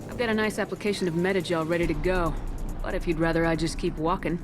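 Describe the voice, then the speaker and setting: A woman's voice: fairly low pitch, steady tone, fast, music in the background, close to an everyday voice. A woman acting a commanding space soldier in a video game, blunt, controlled and dry. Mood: cool, threatening. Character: tough, terse, commanding space soldier heroine.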